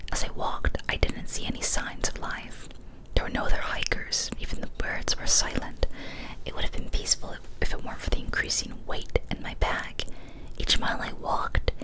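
Young woman, whispered ASMR storytelling, breathy, hushed, deliberate short phrases, close studio mic. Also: rough recording. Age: young adult. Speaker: ASMR storyteller, channel host. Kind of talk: whispered ASMR reading of a first-person horror story. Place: small indoor room, decorated home studio. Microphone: large studio microphone, very close.

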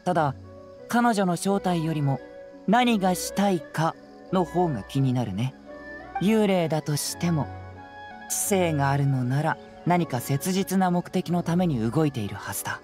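A man's voice: fairly high pitch, animated, unhurried, a little mellow. An actor playing a clever, confident young male detective in an anime game, speaking in a composed, casual, analytical way. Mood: thoughtful. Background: music.

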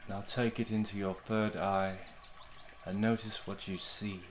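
A man leading a guided meditation in a gentle, soothing, unhurried way, with long pauses between short phrases. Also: lowish voice, level tone, a little hiss or hum.

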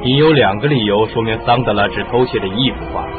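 A man's voice: fairly low pitch, fast, phone-quality sound, close to an everyday voice. A man acting in a radio drama as a sceptical but reasonable police inspector with a questioning manner. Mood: sceptical, puzzled. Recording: band-limited audio with music.

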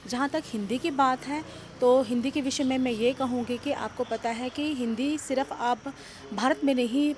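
Middle aged woman talking into a bunch of press mics in a hallway, bit high voice, faint hiss. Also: darkish tone. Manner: measured, with frequent "uh" pauses. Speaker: education department official. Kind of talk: news interview. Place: indoor hallway of an auditorium. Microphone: bunched press microphones.

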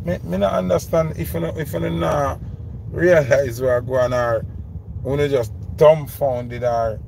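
Jamaican man, 30s, gravelly, emphatic, car interior, phone mic, background noise. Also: on the high side, fairly even intonation, a little slow, darkish tone. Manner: emphatic, repeats questions, Patois mixed with English. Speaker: vlogger. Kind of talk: ranting commentary. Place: inside a parked car. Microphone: phone camera held close.

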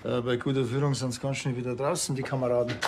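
Man as sarcastic policeman, Bavarian accent, grumpy, gravelly, TV comedy, clear background noise. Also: medium pitch, level tone, fast, a little mellow, close to an everyday voice. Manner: sardonic, grumbling. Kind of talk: acting in TV crime comedy.

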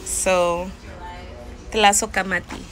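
A woman in her thirties teaching vocabulary in a casual lesson, repeating each word slowly and carefully, recorded on a phone held close outdoors. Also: medium pitch, level tone, soft background music. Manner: slow, careful word-by-word repetition. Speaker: language learner sharing lessons. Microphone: phone held close, selfie framing.

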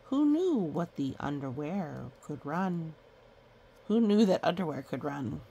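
A woman storyteller reads to children at an unhurried pace, with a noticeably creaky edge to her voice. She is playful, dropping in little comments and counting in a sing-song way. There is some background noise on the recording. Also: low-pitched, sing-song, mellow. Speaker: children's book read-aloud storyteller. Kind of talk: picture book read-aloud with chatty asides to children.

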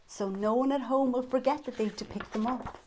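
A woman's voice: a little high, a little fast, darkish tone, slight background noise. A woman in her thirties hosting storytime, reading a picture book aloud expressively to young children with dramatic emphasis.

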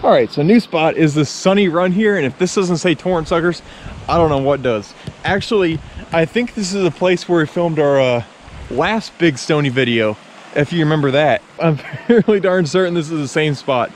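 A man's voice: fairly high pitch, moderate pace, slight background noise. A young man narrating his fishing outdoors by a mountain creek, chatty and enthusiastic, recorded on a body-worn action camera. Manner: enthusiastic, chatty, filler-laden. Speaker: fishing vlogger.

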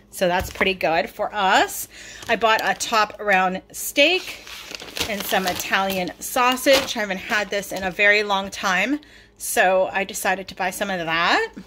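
A woman's voice: medium pitch, a bit bright, slight background noise. A middle-aged woman chatting casually with many ums while she shows off her groceries in her home kitchen, filming with a phone. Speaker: vlogger showing a grocery haul. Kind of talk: casual chat over a grocery haul.

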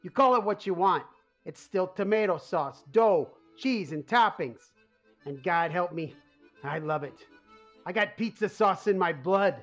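A man's voice: high voice, expressive, a little slow, soft background music. A man playing an exasperated, easily riled mob boss with a secret pizzeria in a comic radio play. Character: stressed, hot-tempered male mob boss secretly running a pizzeria.

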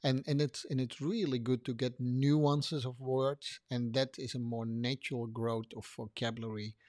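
A man's voice: mid-range voice, level tone, normal speed, darkish tone, no room sound, clean studio sound. Man, explaining. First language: Dutch. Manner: thoughtful, explains with examples. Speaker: podcast co-host. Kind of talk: casual conversation.

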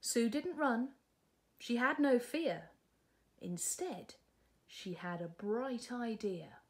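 A woman's voice: fairly high pitch, lively intonation, takes it slowly. A British woman in her forties narrating a children's storybook expressively, as a teacher would.

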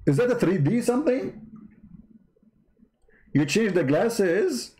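A man's voice: high-pitched, big pitch swings, takes it slowly, dark tone, roomy sound. A man whose first language is not English, mocking a played clip in sarcastic commentary. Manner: sarcastic, mocking, repeats words. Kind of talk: mocking commentary over clips.

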